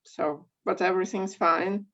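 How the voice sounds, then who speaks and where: A woman from Bulgaria, telling her career story as a guest in an online interview, measured with many pauses. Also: lowish voice, fairly even intonation, fairly bright tone. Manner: measured, frequent ums and pauses. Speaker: guest speaker. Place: home or office in Belgium. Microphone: video call. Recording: dull lo-fi call audio.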